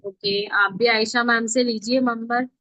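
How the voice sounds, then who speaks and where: Woman online instructor, higher voice, informal step-by-step teaching with check-in questions; close dry sound, slightly muffled call quality. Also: fairly even intonation, measured pace, bright, forceful tone, no echo. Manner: instructional, checking understanding often. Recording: dull lo-fi online call audio.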